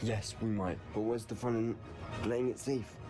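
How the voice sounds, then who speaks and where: A man's voice: on the low side, steady tone, normal speed, close to an everyday voice. A man playing a daring, quippy time-travelling hero in a sci-fi audio drama, wry and flippant. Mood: exhilarated. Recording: loud music under the voice.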